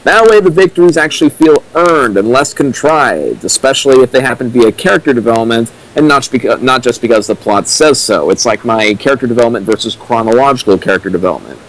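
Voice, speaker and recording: A man's voice: mid-range voice, expressive, quick pace, hardly any pauses, low-quality recording. Sardonic guy doing dry critique, normal mid voice. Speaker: co-host commenting on fan fiction. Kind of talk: critical commentary.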